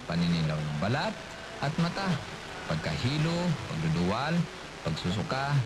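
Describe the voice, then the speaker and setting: A man's voice: on the low side, heavy background noise. A man with a Filipino accent presenting a scripted radio health infomercial as a practitioner. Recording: loud music bed behind voice. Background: loud music.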